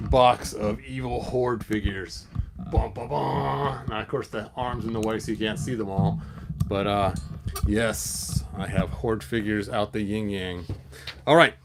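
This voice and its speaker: A man's voice: mid-range voice, background noise. A man hosting a toy collector channel, chatting in a rambling way with many fillers and restarts, then reading a picture book aloud.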